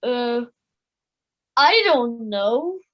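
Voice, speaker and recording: A woman's voice: fairly high pitch, animated, extremely slow, a bit bright, exaggerated voice. A woman playing a dim, greedy villain's sidekick in an audio play, with a gormless manner. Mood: gloating, dim. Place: living room, recorded over video call.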